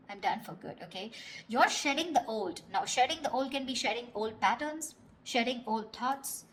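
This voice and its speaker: A woman with a fairly high voice works as a tarot reader, talking straight to the listener. Her delivery is casual and conversational, with a blunt, unfiltered manner that allows for some swearing. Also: poor audio. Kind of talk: casual spoken tarot reading.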